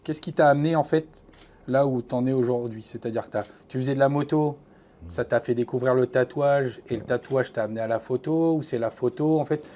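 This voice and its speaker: A man hosting a channel, quietly asking hesitant questions full of fillers in an interview. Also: medium pitch, fairly quick. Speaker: host and interviewer.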